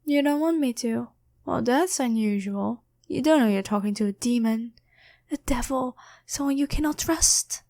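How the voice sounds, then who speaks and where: A woman's voice: on the high side, moderate pace, a little mellow, natural voice. A woman voicing a taunting female demon in a possessed girlfriend's body, teasing and coolly bargaining in an ASMR fantasy roleplay. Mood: curious, amused.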